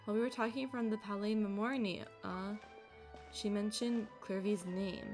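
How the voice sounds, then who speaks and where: Girl streaming a game, chatty and casual, normal pitched voice, soft music in back. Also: fairly even intonation, moderate pace. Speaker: game livestreamer. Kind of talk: casual livestream commentary and reading aloud. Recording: music behind the voice.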